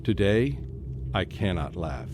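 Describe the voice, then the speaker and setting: A man's voice: low voice, lively intonation, takes it slowly, background noise. A man playing a skeptical, scholarly British scientist in a radio drama, rational but troubled. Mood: grave, uneasy. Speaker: actor and first-person narrator. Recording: faint music behind a student radio play.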